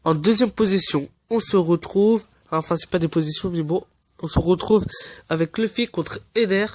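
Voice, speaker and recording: A man hosting a fan channel, stuffy from a cold, giving casual, earnest commentary that often pauses to search for words. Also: high voice, no room sound. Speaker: anime fan channel host.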